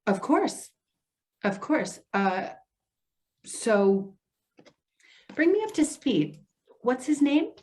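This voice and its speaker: A woman's voice: high-pitched, very animated, drawn-out words, long pauses, darkish tone, exaggerated voice. A woman acting an earnest, protective social worker in readers theater, speaking carefully and insistently. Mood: concerned. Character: earnest, protective female elder-care social worker, cautious.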